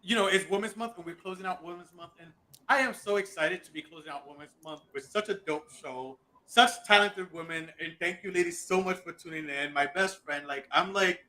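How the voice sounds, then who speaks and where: A man hosting a casual podcast, chatty and animated, who cuts in and then apologizes. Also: high voice, a little fast, poor audio. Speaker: podcast host. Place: city, at home.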